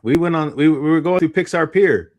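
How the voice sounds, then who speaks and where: A man's voice: on the high side, brisk. A man co-hosting a podcast, chatting casually in informal, slangy speech. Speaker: podcast co-host. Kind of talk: casual chat.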